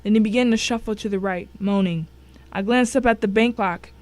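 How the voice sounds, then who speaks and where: A grim, vengeful storyteller, voiced by a woman at a middling pitch. She narrates a crime thriller in the first person as a scripted dramatic reading. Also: fairly quick, darkish tone, lightly acted. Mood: grim, tense.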